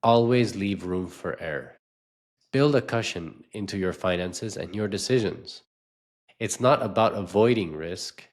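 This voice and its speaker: A man delivering a scripted motivational talk as an investor and coach, in a measured, emphatic way with deliberate pauses. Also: deep voice.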